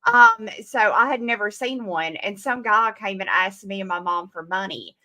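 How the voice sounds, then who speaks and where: Bright chatty Southern woman. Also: mid-range voice, very animated, moderate pace. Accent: Southern American. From American South. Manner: chatty, laughs often. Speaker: comic creator guest. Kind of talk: casual conversation.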